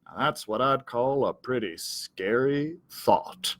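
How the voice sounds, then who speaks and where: A man's voice: medium pitch, animated, slow pace, a bit bright, dry recording, studio quality, pitched below the performer's own voice. A man voicing a self-important, aggrieved cowdog who tells his storybook tale in a pompous, melodramatic, wordy way.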